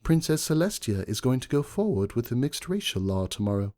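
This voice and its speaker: A man voicing a tender female changeling in love, speaking softly and affectionately in a dramatic fan fiction reading. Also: medium pitch, sing-song, brisk, voice pushed up, close to an everyday voice. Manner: soft, affectionate. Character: tender, loving female changeling posing as model mare.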